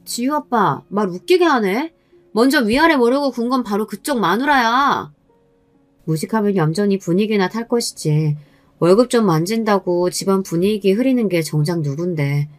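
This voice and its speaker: A woman's voice: mid-range voice, lively intonation, moderate pace, no room sound, soft background music, natural voice. Woman acting a spiteful, domineering sister-in-law. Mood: scornful. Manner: scolding, sneering, condescending.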